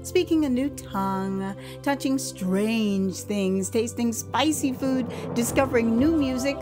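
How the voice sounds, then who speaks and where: An American woman narrating her own first-person memoir as theatrical audio drama, expressive, drawing out words for emphasis and dropping in chatty asides. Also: a little high, slow pace, over music. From United States.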